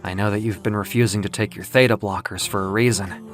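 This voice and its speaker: A man playing an ancient, weakened winged muse in an audio comic drama, speaking in grave, deliberate oratory. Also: on the low side, fairly even intonation, lightly acted. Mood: earnest, persuasive. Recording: music behind the voice. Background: music.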